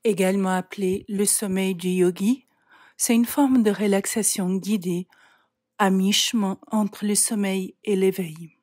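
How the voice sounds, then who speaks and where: A woman leads a guided relaxation at a very unhurried pace. Her voice is soft and breathy with a mellow tone. She gives calm, measured instructions and leaves frequent pauses between phrases. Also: mid-range voice. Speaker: yoga nidra meditation guide. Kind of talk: slow guided relaxation meditation.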